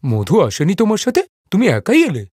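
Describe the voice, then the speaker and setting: A man playing a calm, kindly middle-aged father in an animated story, husky and easygoing. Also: high-pitched, moderate pace, no room sound, studio quality, heavily acted. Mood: reassuring. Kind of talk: Bengali animated moral story acting.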